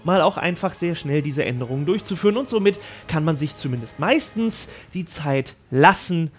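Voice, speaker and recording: High-voiced man presenting emphatically. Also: lively intonation, normal speed, slightly rough, faint music behind. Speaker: YouTube host on film news. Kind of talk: presenting a scripted video commentary to viewers.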